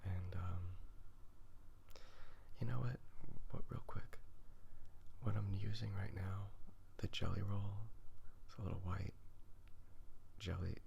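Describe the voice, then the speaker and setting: A man talks in a hushed, breathy near-whisper that sits low and breaks into heavy vocal fry. His delivery is flat and halting, a casual, self-deprecating ASMR ramble. There is faint noise behind him. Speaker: artist hosting a drawing ASMR video. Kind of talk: soft-spoken ASMR, casual rambling while drawing. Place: sitting on the ground while drawing. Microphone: phone recording. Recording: faint background noise with clicking.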